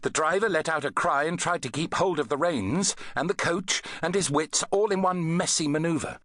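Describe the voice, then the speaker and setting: A man reading an adventure novel as an audiobook narrator, in an expressive, dramatic storytelling manner. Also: a little high, normal speed, dry recording, studio quality.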